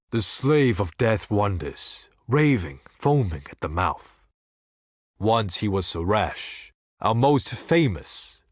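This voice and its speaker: An actor playing a blind, scheming and tormented desert seer in a fantasy game, speaking in solemn, formal, theatrical monologue. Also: male voice, low-pitched, dry recording, clean studio sound, exaggerated voice. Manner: solemn, formal, ominous, deliberate theatrical delivery.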